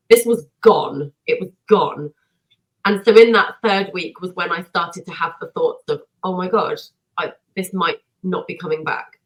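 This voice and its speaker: Lively woman guest, lowish voice. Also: low-quality recording. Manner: energetic, self-deprecating, storytelling. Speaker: podcast guest, singer and vocal coach. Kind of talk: casual podcast conversation.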